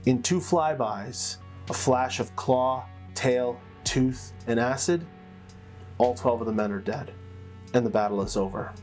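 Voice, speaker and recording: A man narrates fantasy lore as a game master and host. His voice is mid-pitched, with a measured storytelling delivery and dramatic pauses. Plucked guitar music plays clearly behind him. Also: darkish tone.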